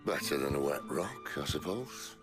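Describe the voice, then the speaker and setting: An actor playing a gruff, wry, world-weary middle-aged outlaw leader in a video game, with gravelly, colloquial delivery. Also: male voice, a bass voice, lively intonation, moderate pace, over music. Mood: dry banter. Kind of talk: video game cutscene acting.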